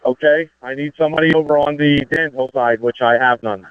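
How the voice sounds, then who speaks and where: A man's voice: on the high side, a little fast, clean tone, dry recording. A man giving terse, procedural orders as a fire incident commander over two-way radio. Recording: tinny, band-limited fire radio transmission.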